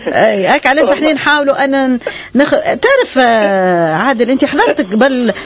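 A woman with a Tunisian accent hosting a radio phone-in show, brisk and dropping short affirmations as callers talk. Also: high voice, animated. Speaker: radio host.

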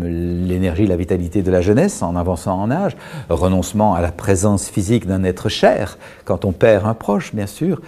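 A middle-aged man, speaking as a guest in a calm, measured, reflective way, pausing often and restarting his sentences. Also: lowish voice, creaky. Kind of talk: reflective explanatory talk.

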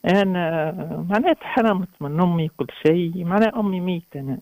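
A man in his twenties with a Tunisian accent, calling a radio show and speaking haltingly about himself over a phone line. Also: sits high, animated, a little mellow, no room sound. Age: 20s. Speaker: first-time radio caller. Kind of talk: hesitant personal account on a phone-in. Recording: tinny narrow phone line.

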